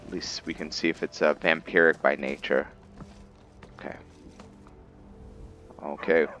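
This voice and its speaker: A man giving casual let's-play commentary and roleplay narration in a halting, musing way, often correcting himself. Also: rumbling low, little pitch movement, sharp tone, soft background music. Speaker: host of a roleplay gaming series.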